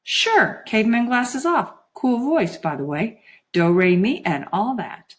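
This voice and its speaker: A woman's voice: on the high side, expressive, unhurried, voice pushed up. A woman voicing a flighty, sulky teenage girl in a storybook, chatty and slangy, gushing one moment and petulant the next. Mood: dazzled.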